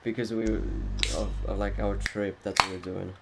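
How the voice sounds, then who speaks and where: Slow-talking young man, Polish-Finnish accent, gravelly low voice, tired and rambling; faint background hum. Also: level tone. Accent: Polish, mixed with Finnish. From Poland. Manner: rambling, laughs often, trails off quietly. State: tired from no sleep. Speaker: racing league commentator. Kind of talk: casual chat.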